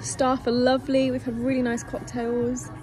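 A woman's voice: high voice, completely flat delivery, normal speed. A young woman, a travel vlogger chatting upbeat to viewers from a camera held at arm's length, outdoors. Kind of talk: casual vlog narration to camera. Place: outdoors in a town and on a rooftop terrace. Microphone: handheld camera at arm's length. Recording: music playing under the voice.

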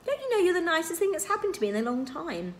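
A woman voicing a frank, caring teenage boy in a storybook, direct and gently probing. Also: very high-pitched, big pitch swings, fast talker, a little hiss or hum, pitched above the performer's own voice. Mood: gentle, coaxing.

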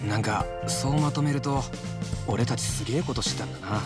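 A man's voice: mid-range voice, brisk, over loud music, lightly acted. An actor playing an easygoing, cheeky male sidekick in a video game, speaking in a casual, colloquial way. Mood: cheeky, curious. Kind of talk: Japanese video game dub acting.

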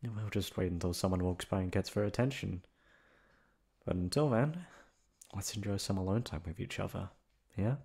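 A man playing a lovestruck young delinquent confessing to his best friend in an intimate ASMR roleplay, tender and halting. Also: sits low, creaky, darkish tone, slightly rough, heavily acted. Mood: playful, coy.

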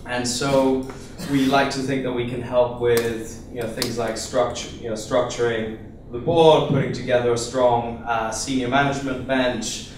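A young man from Iceland giving a relaxed investor pitch on a conference stage with a handheld mic, full of fillers and restarts. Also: moderate pace, a little hiss or hum. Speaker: venture capital investor. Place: conference room with seated audience. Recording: echoey room, voice from far off.

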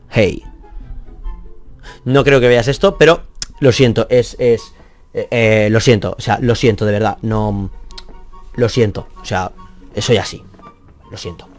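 A young man who grew up speaking Catalan talks into a headset at his office desk, wandering off track as he reacts to comments. He runs himself down and swears offhandedly. Faint music plays underneath. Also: on the low side. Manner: rambling, self-deprecating, swears casually. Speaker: math youtuber. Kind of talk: reading and answering comments.

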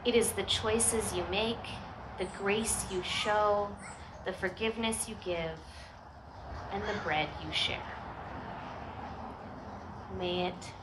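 A woman's voice: mid-range voice, steady tone, slow, long pauses. A middle-aged American woman pastor giving an earnest, conversational sermon with informal asides and rhetorical questions, speaking into a headset microphone. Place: outdoor church service in a garden. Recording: outdoor live recording with ambient noise.

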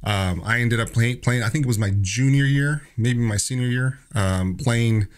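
A man from Mexico, a business owner telling his story as a guest in a relaxed, casual way, often saying "man" and restarting sentences. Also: mid-range voice, normal speed, clear, resonant tone. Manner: laid-back, says "man" a lot, many restarts. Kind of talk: casual interview talk.

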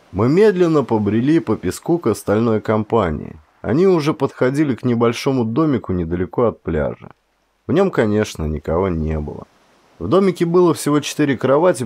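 A man from Russia narrating an audiobook story in a dramatic, expressive reading, lifting his voice for quoted speech. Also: medium pitch.

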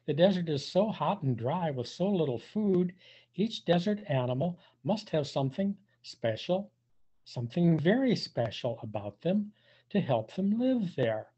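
An older man reading a picture book aloud to young children, with drawn-out emphasis and playful questioning. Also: fairly high pitch, sing-song, mellow, slightly rough. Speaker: volunteer storybook reader for young children. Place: indoors, on a video call. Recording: dull, muffled webcam audio.

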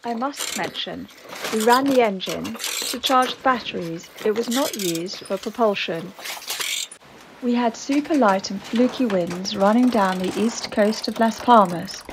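A woman, the boat's skipper, narrating a sailing voyage in a calm, measured voice-over. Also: medium pitch, background noise.

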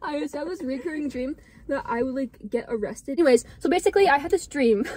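Giggly high-voiced young woman rambling. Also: animated, fast, darkish tone. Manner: rambling, giggly, heavy use of "like". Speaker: friend telling a story. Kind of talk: casual chat. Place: parked car at night.

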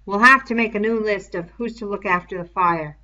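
A woman's voice: mid-range voice, brisk, sharp tone, lightly acted. A woman voicing a worried, practical boy leader in a storybook reading, giving short orders and questions, on a dull lo-fi recording.

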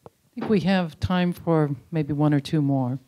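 A woman's voice: deep voice, measured pace, dark tone, rough recording. A woman moderating a panel conversationally, speaking into a handheld microphone. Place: indoor auditorium panel before an audience.

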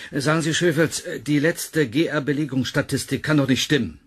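An actor playing a domineering, impatient professor in a German sci-fi audio drama, speaking in a curt, commanding way. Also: male voice, fairly high pitch, moderate pace, slightly rough. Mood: irritated, commanding. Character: domineering, impatient male professor leading research.

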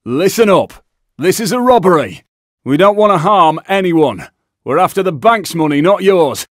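An actor playing a foul-mouthed, cocky, aggressive British bank robber in a video game, gruff and blunt, barking out orders. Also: male voice, high voice, lively intonation, normal speed, no echo, clean studio sound. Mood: menacing, commanding. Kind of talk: video game combat barks.

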